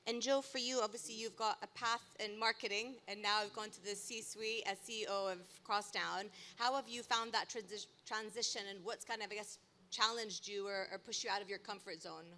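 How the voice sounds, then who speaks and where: Woman panel moderator, bright forceful voice, inquisitive questions. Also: medium pitch, steady tone, moderate pace. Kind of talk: live panel discussion. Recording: faint noise, live event ambience.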